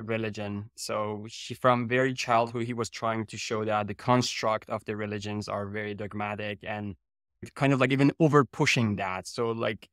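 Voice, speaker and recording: A man in his thirties from Iran, raised in Canada, talking as a guest researcher over a video call from a home office, speaking haltingly with many fillers. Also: medium pitch, clear, resonant tone, no echo, studio quality. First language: Persian. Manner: hesitant, searching for words with many fillers. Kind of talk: relaxed video-call interview.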